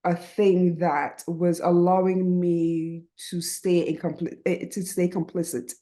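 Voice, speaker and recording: A middle-aged Barbadian woman with a lowish, warm and mellow voice. She talks earnestly and reflectively, often ending thoughts with "right?". Her manner is candid and conversational. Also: little pitch movement, slow. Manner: earnest and reflective, with frequent "right?" and soft laughs. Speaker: guest, writing program founder.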